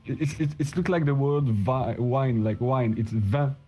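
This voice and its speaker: A man's voice: mid-range voice, measured pace, dark tone, breathy edge. A man from France with a French accent, a native French speaker, chatting casually in English and often searching for words. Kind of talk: casual chat. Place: online VR voice chat. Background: music.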